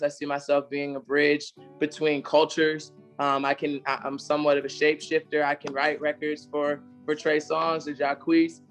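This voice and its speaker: A man with a raspy, slightly hoarse voice, answering interview questions in a relaxed, conversational way. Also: fairly high pitch. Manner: relaxed, conversational, sings snippets. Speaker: interview guest, singer-songwriter. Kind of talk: casual interview chat.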